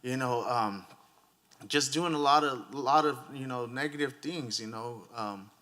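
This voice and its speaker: A man in recovery answering a panel question in a candid, halting way, full of fillers. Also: medium pitch, pushed and bright. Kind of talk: panel answer at a public forum.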